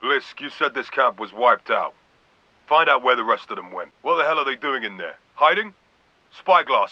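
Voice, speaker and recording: Stern, commanding military admiral. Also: male voice, mid-range voice, sharp tone, echoey room, distant mic, highs cut off. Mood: urgent, demanding. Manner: commanding, clipped, formal orders. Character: stern, authoritative male military vice admiral commanding troops. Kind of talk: video game military briefing voice acting.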